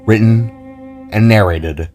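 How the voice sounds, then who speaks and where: A man's voice: on the low side, slow. A man giving a dramatic scripted narration of a fantasy story, as its narrator. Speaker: author and narrator. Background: soft music.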